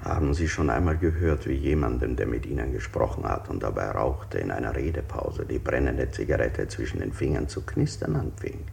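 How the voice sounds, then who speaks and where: An actor playing an unsettling interrogator in a German radio play, putting insistent, probing questions. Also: male voice, very deep voice, lively intonation, brisk, gravelly, few pauses, a little mellow, exaggerated voice. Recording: hissy 1960s radio play recording.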